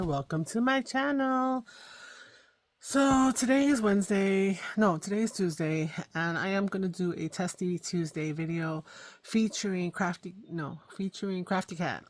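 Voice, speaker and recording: A middle-aged woman hosting a crafting vlog in a hoarse voice, chatting casually with lots of ums and self-corrections. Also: medium pitch, moderate pace. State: hoarse, losing her voice. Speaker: craft channel host.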